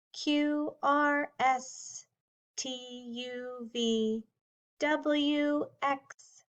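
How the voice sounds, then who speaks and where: A woman teacher giving a slow, clearly spoken English lesson to young learners, drawing out each word. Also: on the high side, fairly bright tone, dull audio.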